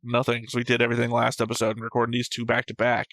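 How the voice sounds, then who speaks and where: A man's voice: mid-range voice, monotone, rapid-fire, fairly bright tone, dry recording. A man with a mid-range voice hosts a reaction show. He talks in a casual, conversational way, recorded dry with very little room sound. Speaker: host of an anime reaction show.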